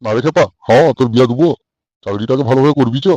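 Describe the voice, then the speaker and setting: Man playing a fast, irritable cartoon father, West Bengal accent. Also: mid-range voice, a little mellow, dry recording, highs cut off, natural voice. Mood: coaxing. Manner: scolding, crude, blunt. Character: irritable, foul-mouthed middle-aged village father. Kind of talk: comic cartoon dialogue.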